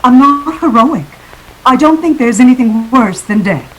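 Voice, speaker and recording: A woman's voice: high voice, animated, normal speed, breathy edge, poor audio. An actress playing a slyly helpless femme fatale client in a 1940s-style radio melodrama, hesitant and dramatic, with a throb in her voice. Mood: grave, fearful.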